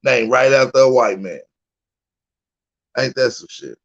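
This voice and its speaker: A man's voice: mid-range voice, unhurried, vocal fry. Crude mocking man on a lo-fi stream. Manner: crude, mocking trash talk. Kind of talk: casual streaming banter.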